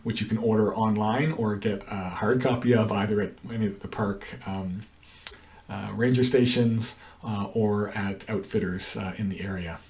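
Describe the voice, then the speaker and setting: A man's voice: fairly low pitch, fairly even intonation, moderate pace, a little mellow, distant mic, slight background noise. A middle-aged man explains a canoe route over a shared screen, talking in a hesitant way with many ums and uhs. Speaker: canoe trip guide. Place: indoor room.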